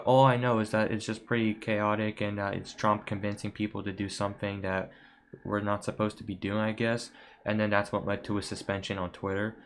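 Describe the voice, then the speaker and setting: A young American man with a fairly low voice talks informally to his viewers. His delivery is rambling and offhand, like casual video commentary. The home recording has some noise behind the voice. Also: a bit bright. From United States. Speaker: video creator. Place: room at home.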